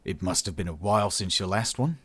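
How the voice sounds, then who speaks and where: A man's voice: sits low, a little fast, fairly bright tone, slightly rough, no echo. A man voicing a blunt, awkward Australian space adventurer in an audiobook, speaking informally. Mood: dry, needling.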